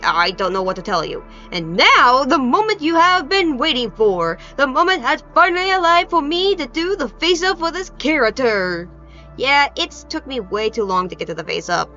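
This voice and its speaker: High-voiced woman narrating, dull recording. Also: sharp tone. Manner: playful, self-deprecating, hesitant in places. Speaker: craft video creator. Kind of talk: informal voice-over narration of a craft project. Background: background music.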